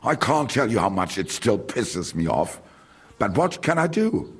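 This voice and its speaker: An actor playing a grumbling, condescending village merchant in a video game, with a German accent and German phrases slipped in. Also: male voice, fairly low pitch, very animated, unhurried, breathy edge, heavily acted. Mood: rueful. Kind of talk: video game character dialogue.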